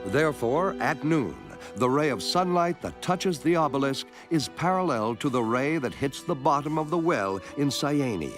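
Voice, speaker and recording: A man giving a measured, scripted narration for an educational film, explaining things clearly step by step. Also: fairly high pitch, animated, over music. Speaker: documentary narrator.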